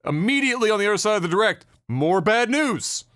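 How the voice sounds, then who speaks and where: A man co-hosting a gaming podcast in a casual, profane rant. His voice sits fairly high. The sound is close and dry. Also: very animated, quick pace, fairly bright tone, no room sound. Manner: profane, wry ranting. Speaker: podcast co-host. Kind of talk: casual gaming news chat.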